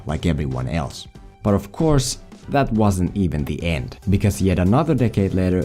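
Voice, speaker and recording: Low mellow guy, english not his first language, snarky voice-over, faint music under it. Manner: sarcastic, mock-dramatic. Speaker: film commentary video host. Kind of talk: scripted comedic voice-over commentary.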